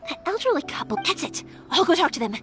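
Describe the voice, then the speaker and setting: Upbeat game heroine: very high, animated, game music underneath. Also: female voice, fast. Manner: bubbly and energetic. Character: cheerful young female fireworks maker, upbeat. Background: game soundtrack.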